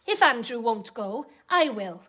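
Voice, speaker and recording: An actress playing an earnest, passionate British doctor's wife in a radio drama, speaking in an emotional, confiding, halting way. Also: female voice, on the high side, very animated, a bit bright, no room sound, studio quality, heavily acted. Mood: defiant.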